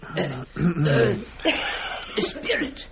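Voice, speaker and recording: Halting, creaky-voiced ailing old army major. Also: male voice, fairly high pitch, very animated, background noise, heavily acted. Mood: solemn, fervent. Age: older. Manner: stammering, hesitant, faltering speech. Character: ailing, drunken, halting retired army major, about sixty. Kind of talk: old-time radio drama acting.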